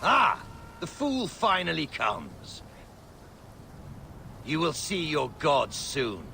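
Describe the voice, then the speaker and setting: A man's voice: a little high, wildly swooping pitch, slow pace, vocal fry, bright, forceful tone, a little hiss or hum, full character voice. An actor playing a gloating, taunting male villain in a video game. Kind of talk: dramatic video game acting.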